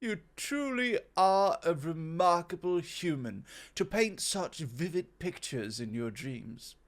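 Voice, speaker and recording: A man playing a proud, courtly immortal god of innovation in an ASMR roleplay, with formal, gently teasing speech. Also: on the high side, animated, takes it slowly. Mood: admiring, gentle.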